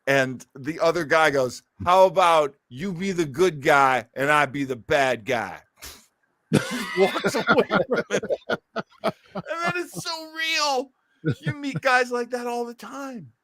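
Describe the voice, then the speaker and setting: A man with a fairly high, forceful voice talks casually and emphatically, like someone used to telling stories. The sound is dry and close, and he leans on key words as he goes. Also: slow, dry recording. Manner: animated, emphatic storyteller. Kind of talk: casual chat about pro wrestling.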